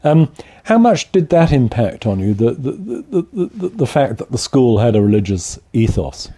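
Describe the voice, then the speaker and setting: A man interviewing a guest, asking polite and slightly halting questions. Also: medium pitch, sing-song, dark tone, husky.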